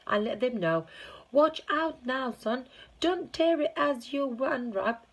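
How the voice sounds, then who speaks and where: Woman as storybook shopkeeper, male character, excitable, friendly, loud yelling, pitched way up, husky. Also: slow pace, heavily acted. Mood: frantic delight.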